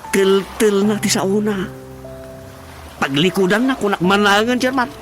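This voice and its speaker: An actor with an Ilocano Filipino accent playing a teasing villager in a radio comedy drama. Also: male voice, sits high, a little slow, fairly bright tone, soft background music. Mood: needling.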